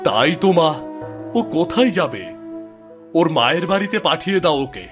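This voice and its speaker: A man voicing a weak, fretful village husband in a cartoon, quick to blame. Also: fairly high pitch, sing-song. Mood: uncertain. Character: weak, blaming village husband deferring to his mother. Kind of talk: cartoon moral story voice acting. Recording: loud background music.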